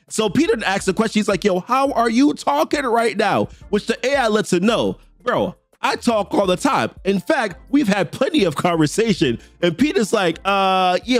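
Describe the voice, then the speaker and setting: A man's voice: high voice, no room sound. A young man with a Jamaican accent, recapping a comic story in a casual, slangy way. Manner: chatty, slangy, reads character lines in quick quotes. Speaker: comic book channel host.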